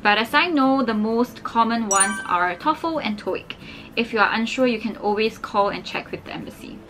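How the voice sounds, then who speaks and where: A young woman from Singapore, explaining application steps clearly to camera indoors. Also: fairly high pitch, lively intonation, moderate pace, noisy background. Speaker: scholarship advice vlogger. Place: indoors against a plain wall.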